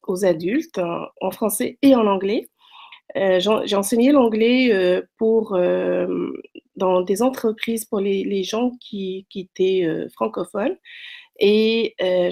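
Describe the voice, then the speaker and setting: A middle-aged woman from Morocco introduces herself as a French tutor. She speaks off the cuff, with frequent fillers and restarts. Her voice has a gravelly edge at a middle pitch. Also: a little mellow. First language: French, also speaks Arabic. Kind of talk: self-introduction to prospective students. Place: Quebec, Canada.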